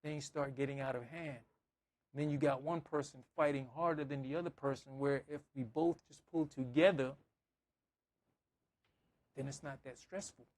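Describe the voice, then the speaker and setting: A man's voice: medium pitch, fairly even intonation, normal speed, pauses often, a little mellow, poor audio. A man preaching in a conversational style, with emphatic repetition and acted-out examples. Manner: emphatic, repeating phrases, acting out dialogue. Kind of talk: conversational sermon with scripture reading and anecdotes.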